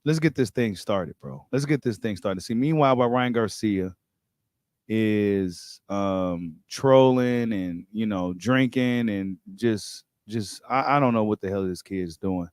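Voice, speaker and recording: A man with an American accent and a fairly low voice talks as a livestream host. His delivery is informal and slangy, and he often repeats a phrase to drive it home. The sound is dry and close. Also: a little slow, a little mellow, no echo. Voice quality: voice getting slightly worn. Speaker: host of a boxing talk livestream. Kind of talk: casual livestream chat and commentary.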